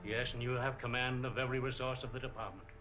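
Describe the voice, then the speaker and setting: An actor playing a sober, supportive middle-aged police chief, measured and formal, in a 1940s film. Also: male voice, mid-range voice, fairly even intonation, over music, lightly acted. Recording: dull, band-limited 1940s film soundtrack.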